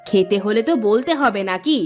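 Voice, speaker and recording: A woman playing a sharp-tongued, long-suffering village housewife in a cartoon, scolding and sarcastic. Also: mid-range voice, expressive, moderate pace, soft background music, natural voice. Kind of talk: cartoon dialogue acting.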